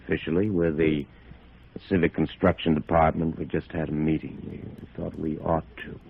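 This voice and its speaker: An American actor playing a big, brazen building boss in a 1950s radio noir, guarded and dismissive. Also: male voice, a bass voice, flat delivery, moderate pace, gravelly, breathy edge, heavily acted. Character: big, brazen, unworried male small-town builder boss. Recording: narrow-band vintage radio recording.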